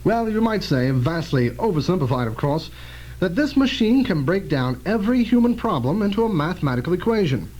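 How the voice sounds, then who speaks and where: A man playing an earnest, cautious inventor in an amateur radio drama, explaining things with measured emphasis. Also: high voice, big pitch swings, darkish tone, poor audio. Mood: explanatory, proud. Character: earnest scientist inventor, cautious and proud of machine.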